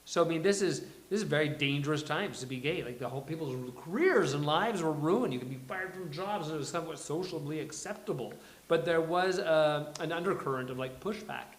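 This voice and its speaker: A man's voice: fairly high pitch, a little fast. A man hosting a casual panel chat, rambling and jokey as he goes. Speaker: host. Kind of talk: casual panel chat before a live table read. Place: live event room with a small audience. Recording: echoey room.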